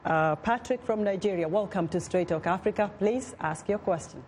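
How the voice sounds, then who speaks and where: A host of a call-in talk show, welcoming callers and moving the show along briskly. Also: medium pitch, darkish tone, a little hiss or hum.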